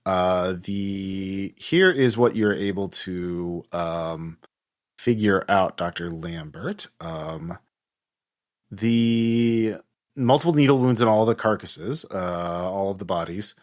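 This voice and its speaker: A man running a tabletop horror game, narrating off the cuff and haltingly as he thinks aloud. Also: low voice. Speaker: game master.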